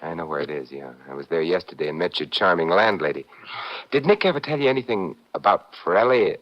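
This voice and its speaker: A suave, commanding sleuth with a low voice that moves about with lively emphasis. He talks briskly and wryly, pressing for answers, in an old radio drama with dull, muffled sound. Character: suave, commanding gentleman sleuth, urgent and insistent. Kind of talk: 1950s American radio acting. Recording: muffled vintage radio recording.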